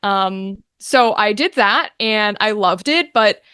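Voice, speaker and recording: A young woman chatting casually as an interview guest, telling her story in an animated, informal way. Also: medium pitch, takes it slowly, smooth, no room sound, studio quality. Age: young adult. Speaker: guest, voice actor.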